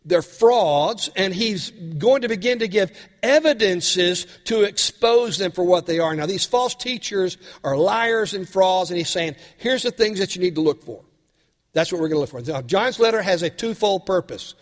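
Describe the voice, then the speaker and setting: A male pastor, raspy from a cold, preaching a sermon with earnest questions to his congregation. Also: high-pitched, lively intonation, moderate pace, big-room sound.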